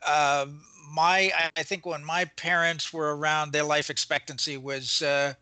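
An older man hosts a relaxed chat in a bright, slightly high voice. The sound is dry and close, and his delivery is conversational. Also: unhurried, clean tone, no room sound. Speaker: talk show host. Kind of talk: casual chat.

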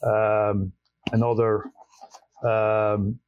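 A man's voice: lowish voice, little pitch movement, very slow, a little mellow, muffled recording, a little hiss or hum. A man from the Netherlands with a Dutch accent, a professor answering interview questions in a hesitant way with many fillers. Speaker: animal breeding professor, guest.

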